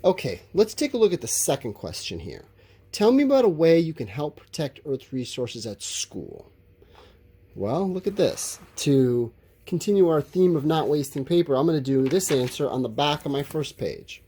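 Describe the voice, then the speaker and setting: A man's voice: on the high side, very animated, moderate pace, warm tone, breathy edge. A man teaching a school lesson, talking informally and explaining as he writes out answers, drawing out his words. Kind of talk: instructional lesson, thinking aloud while writing answers.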